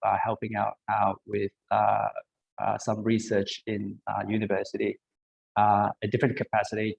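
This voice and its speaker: A man in his thirties from Malaysia, giving an online career talk over a video call and pausing often with ums and uhs. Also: lowish voice, gravelly, poor audio. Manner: hesitant, many ums and uhs. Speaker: biomedical engineer, panel speaker.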